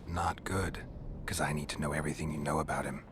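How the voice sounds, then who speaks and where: An actor playing a stoic monster hunter in a video game, with an American accent and a gravelly, deadpan, terse delivery. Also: male voice, fast talker, noisy background. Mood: guarded, firm. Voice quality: low gravelly growl, breathy at phrase ends. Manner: deadpan, terse, dryly sardonic. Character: gruff, stoic male monster hunter for hire, dry and blunt.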